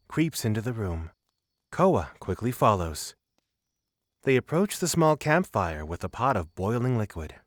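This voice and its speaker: A male narrator reads a scripted fantasy story. His voice sits at a middle pitch. The recording is very dry, with hardly any room sound. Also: no room sound.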